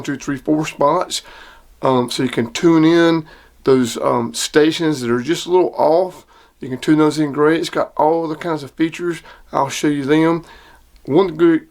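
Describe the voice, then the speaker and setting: A man with a somewhat high-pitched voice hosts a hobby demonstration. He talks informally and plainly, with clear enthusiasm for the gear he is explaining. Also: darkish tone. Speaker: CB radio hobbyist and video host. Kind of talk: casual product demonstration.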